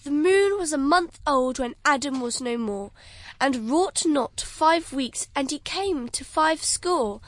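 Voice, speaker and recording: High voice, woman doing a pompous fussy schoolmaster, dry close sound. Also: lively intonation, takes it slowly, no room sound. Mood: pedantic. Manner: pompous, pedantic. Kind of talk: Shakespeare stage acting.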